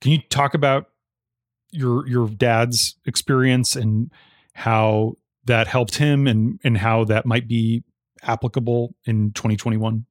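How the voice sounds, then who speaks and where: A man's voice: mid-range voice, fairly even intonation, unhurried, no room sound. Male interviewer, close dry sound. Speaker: interview host. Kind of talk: prepared interview questions.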